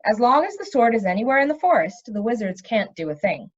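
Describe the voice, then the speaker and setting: A woman's voice: on the high side, sing-song, dull audio. Woman voicing an earnest, hesitant young king. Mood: explaining. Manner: hesitant, halting. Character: earnest, awkward young king of an enchanted forest.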